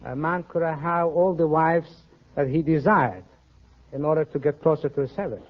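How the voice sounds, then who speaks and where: Persian accent guy, kinda high voice, very polite formal contestant answers, dull old radio sound. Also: moderate pace. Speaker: quiz show contestant, professional wrestler. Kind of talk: polite interview answers and anecdotes. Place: radio studio stage with audience. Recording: muffled vintage radio broadcast recording.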